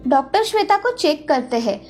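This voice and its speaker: A high-pitched female storyteller narrates a children's moral tale from a script. Music plays softly behind her voice. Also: sing-song, normal speed.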